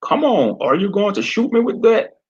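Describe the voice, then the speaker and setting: A man's voice: sits high, sing-song, normal speed, a little mellow, muffled recording, voice pushed up. A man voicing a bullying small-town sheriff with a Southern drawl, sneering and menacing. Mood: taunting.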